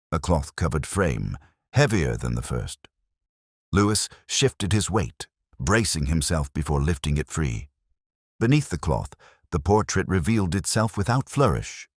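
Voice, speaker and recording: Very deep-voiced man narrating a solemn mystery. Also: dry recording, clean studio sound. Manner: solemn, literary, measured delivery. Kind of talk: scripted first-person detective mystery narration.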